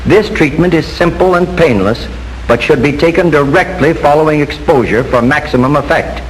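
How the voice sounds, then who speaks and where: An American man narrates in the formal style of a 1940s training film. His voice sits a little high, and he speaks in a measured, instructive way. The old film soundtrack carries some noise and hiss. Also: low-quality recording.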